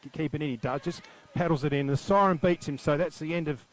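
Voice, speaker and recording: An Australian man doing live commentary on a local football match, calling the play with quick excitement. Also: on the high side.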